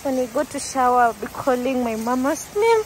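A young woman with a high voice talks at an unhurried pace into a phone held at arm's length on a forest trail. She is chatty and giggly and drifts between English and Swahili. The rush of a stream and waterfall comes through clearly behind her. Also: huge pitch swings, poor audio. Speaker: vlogger. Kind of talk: casual vlog narration while hiking. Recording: outdoor noise from stream and waterfall.

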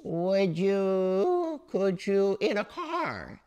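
A man's voice: high-pitched, big pitch swings, drawn-out words, darkish tone, dull audio, voice pushed up, heavily acted. A man voicing a small, pesky storybook creature who coaxes eagerly in singsong questions. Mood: excited insistence.

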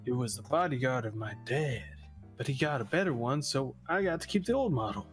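A man's voice: medium pitch, animated, a little fast, soft background music, close to an everyday voice. A man voicing a vain, attention-hungry young influencer in a cartoon, talking in cocky bro slang. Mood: cocky, boastful.

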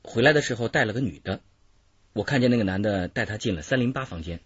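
Gossipy hotel attendant, husky, lively intonation, radio drama. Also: male voice, on the low side, fast, dry recording, full character voice. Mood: hesitant. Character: knowing male hotel floor attendant, gossipy witness. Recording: dull lo-fi radio drama recording.

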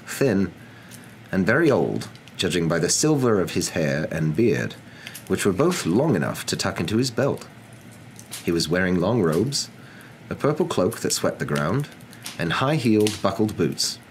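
A young man narrating a story in a soft, dramatic ASMR reading style. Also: low voice, a little hiss or hum, low-quality recording. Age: young adult.

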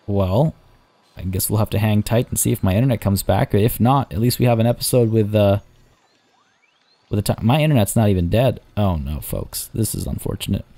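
A hoarse young man casually commenting as he plays a zoo-building game, chatty and rambling. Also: on the low side, expressive, brisk, mellow. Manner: rambling, chatty, many restarts and fillers. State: hoarse, losing his voice. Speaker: let's play host.

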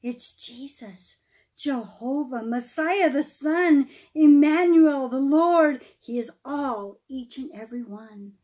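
High-voiced woman reading a children's storybook aloud. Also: darkish tone. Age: middle-aged. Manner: dramatic, emphatic phrasing with pauses, explaining asides to children. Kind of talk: expressive children's storybook read-aloud in rhyme.

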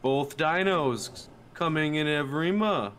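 A man on a gaming stream reading chat aloud and joking with his teammates, playful and excitable. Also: on the high side, slow, smooth. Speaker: fellow streamer.

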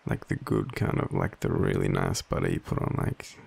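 Gravelly, low Australian man acting a devoted young boyfriend. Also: little pitch movement, full character voice. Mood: tender, nervous. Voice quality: husky, gravelly. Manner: hesitant, halting, soft-spoken confession. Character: vulnerable, devoted young male partner opening up. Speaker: audio roleplay performer. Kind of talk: intimate boyfriend audio roleplay.

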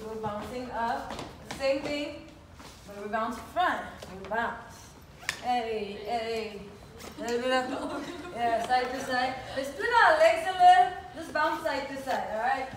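Female dance teacher, high voice, unhurried counts and step cues, recorded at a distance with music. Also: background noise. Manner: energetic, instructing in short calls. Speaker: dance instructor. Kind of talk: calling out dance steps and counts. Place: large indoor dance studio. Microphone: distant phone camera. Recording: echoey studio, far from phone, music.

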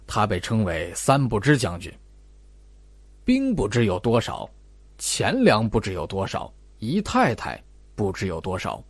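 Man voicing a low, patronizing older investigator. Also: huge pitch swings, a little slow, husky, no echo, heavily acted. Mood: lecturing. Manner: drawling, patronizing, sentence-final particles. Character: Old Bai, condescending, long-winded investigator, older man. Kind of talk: audiobook character dialogue.

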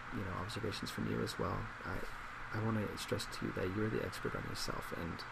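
A man in his twenties speaking in a soft ASMR role play as a psychologist, hesitant, measured and reassuring, recorded in a small office. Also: on the low side, gravelly. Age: 20s. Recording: loud laptop fan noise.

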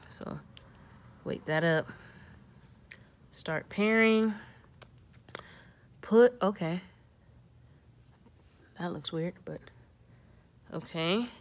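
A woman's voice: fairly low pitch, a bit bright. A young woman hosting a casual step-by-step tech tutorial, thinking aloud and reading prompts in an informal way.

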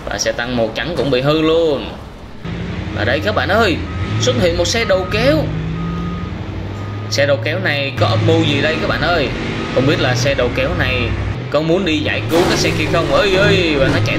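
A man in his thirties giving exclamatory, simple storytelling commentary on game footage, as if for children. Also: a little high. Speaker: host of gaming reaction videos for children. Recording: noisy, car engine sounds behind.

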